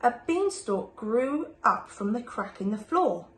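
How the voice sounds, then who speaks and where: A woman's voice: a little high, sing-song, unhurried, fairly bright tone. A woman reading a rhyming children's storybook aloud expressively, like a primary school teacher. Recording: echoey room, faint background noise.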